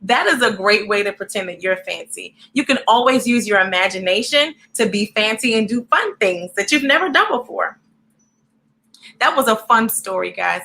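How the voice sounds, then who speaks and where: An animated, encouraging woman hosting a storybook read-aloud, speaking directly to children in an expressive way. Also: medium pitch, normal speed, gravelly, low-quality recording.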